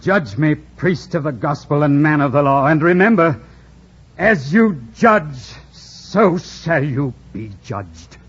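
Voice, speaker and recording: An actor playing a proud, bitter, commanding gentleman in anguish, imperious and theatrical in an old radio drama style. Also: fairly high pitch, expressive, takes it slowly, breathy edge, exaggerated voice. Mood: grave anguish. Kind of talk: 1940s-50s radio drama acting. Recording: dull, noisy old radio broadcast recording.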